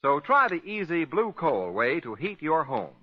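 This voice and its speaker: A man delivers a vintage radio advertisement with emphatic polish. His voice sits fairly high and moves up and down with great animation, heard through a dull 1940s broadcast recording. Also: very animated, measured pace. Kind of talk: 1940s radio sponsor announcing.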